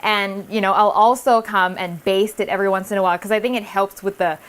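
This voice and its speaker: A woman's voice: mid-range voice, fairly even intonation, brisk, clean tone. A woman hosting a cooking video, chatting casually and encouragingly as she cooks at the stove in a kitchen. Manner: chatty, encouraging, thinking aloud while cooking. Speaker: cooking show host. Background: food sizzling in a pan.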